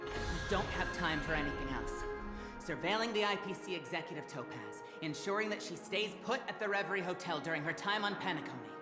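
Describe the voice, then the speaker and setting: Brusque, low, animated female guard actress. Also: brisk, close to an everyday voice. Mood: defensive. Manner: curt, bossy. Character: brusque, irritable, tough female hotel guard. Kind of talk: video game voice acting. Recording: echoey hall reverb, loud game music.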